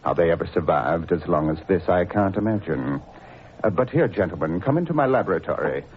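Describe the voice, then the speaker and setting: A man's voice: sits low, expressive, a little fast, narrow, tinny audio, slight background noise. An actor playing a mad, vengeful male scientist villain in an old radio play, with a theatrical, gloating delivery and sinister chuckles. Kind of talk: 1940s radio acting.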